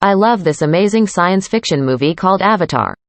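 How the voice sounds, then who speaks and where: A woman reading a student's part in a scripted classroom conversation, calm and plain. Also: lowish voice, fairly quick, no room sound. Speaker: student in a dialogue.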